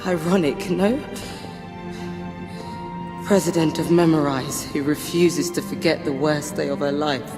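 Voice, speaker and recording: An actress playing a middle-aged, cold and embittered corporate president in a video game, speaking in a measured, formal, imperious way. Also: female voice, sits low, steady tone. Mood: bitter, resolute. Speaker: company president. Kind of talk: dramatic video game cutscene acting. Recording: game music behind the voice.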